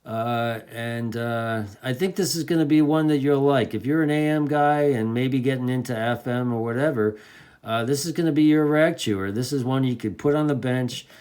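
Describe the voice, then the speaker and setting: A man's voice: mid-range voice, normal speed, darkish tone, clear, resonant tone. A man giving a casual, enthusiastic product demonstration in an informal, folksy way, like a reviewer talking to hobbyists. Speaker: radio reviewer.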